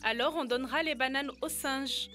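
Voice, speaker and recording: A woman voicing a cheerful, eager child helper in a French-dubbed educational cartoon, lively and earnest. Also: sits high, normal speed, faint music behind. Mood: upbeat.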